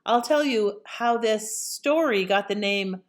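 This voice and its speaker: A woman's voice: mid-range voice, slow. A woman casually introducing an old radio show as its host.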